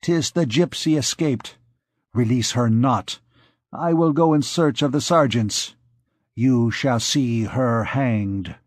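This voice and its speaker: A man reading aloud, voicing a possessive, raving middle-aged priest who shouts threats in a frenzy. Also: medium pitch, takes it slowly, no room sound, studio quality. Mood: commanding.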